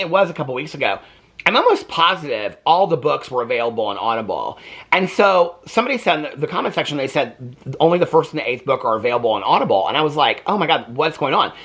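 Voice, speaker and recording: Guy doing a chatty book vlog, rambling and emphatic, voice swings up and down a lot, bit raspy. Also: fairly high pitch, pushed and bright. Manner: rambling, chatty, emphatic retelling with quoted asides. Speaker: book vlogger and book club host. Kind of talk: casual unscripted chat to viewers.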